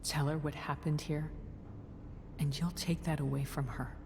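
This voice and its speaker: A woman playing a broken, guarded mother in a game drama, speaking in a weary, deliberate way with bitter resignation. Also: sits low, flat delivery, creaky, big-room sound, noisy background. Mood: firm, pleading. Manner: weary, deliberate, clipped phrases. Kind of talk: naturalistic dramatic game acting.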